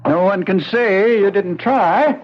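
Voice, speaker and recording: Blunt, high-pitched grumbling man. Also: takes it slowly. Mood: dismissive. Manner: dry, blunt, folksy. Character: blunt, skeptical, grumbling male house buyer. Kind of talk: 1970s radio mystery acting. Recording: muffled 1970s radio broadcast, faint noise.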